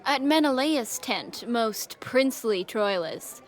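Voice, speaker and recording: A young woman plays a shrewd, courteous Greek lord in a Shakespeare audio drama. The voice is fairly high and slow, formal and measured in its phrasing. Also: lively intonation. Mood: probing, polite. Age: young adult.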